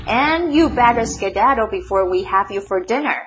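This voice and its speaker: A woman's voice: on the high side, expressive, normal speed, fairly bright tone, slight background noise. Woman as a stern snapping mother crocodile. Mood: stern, threatening. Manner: snapping, severe. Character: stern, menacing mother crocodile guarding her swamp.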